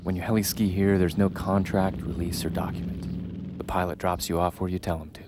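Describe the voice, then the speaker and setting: A man reading scripted documentary narration in a measured, storytelling way. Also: deep voice, level tone, very noisy. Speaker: voice-over narrator. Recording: music bed under the voice. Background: music.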